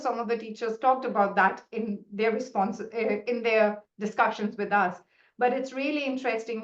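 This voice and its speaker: A middle-aged woman whose first language is Hindi, a researcher answering questions in a webinar in an earnest, explanatory way with frequent restarts. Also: fairly high pitch. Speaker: researcher presenting findings. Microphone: video call. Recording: roomy, muffled video call audio.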